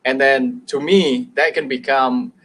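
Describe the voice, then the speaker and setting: A middle-aged man with an Indonesian accent speaks in a mid-pitched voice on a business talk show. He pauses often, fills gaps and restarts words, with an occasional chuckle. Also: steady tone, a bit bright, rough recording. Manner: hesitant, many fillers and restarts, chuckles. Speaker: business show guest expert.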